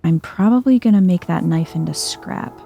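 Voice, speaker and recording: A soft-spoken woman giving calm, casual let's play commentary in an ASMR vibe, musing aloud as she plays. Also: fairly low pitch, normal speed, creaky, soft background music. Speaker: video game streamer, let's player.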